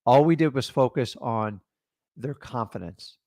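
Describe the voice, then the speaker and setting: A man's voice: medium pitch, unhurried, a little mellow, no echo, clean studio sound. A middle-aged man coaching on real estate deals, talking in an emphatic, motivational, direct way. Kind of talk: energetic coaching talk.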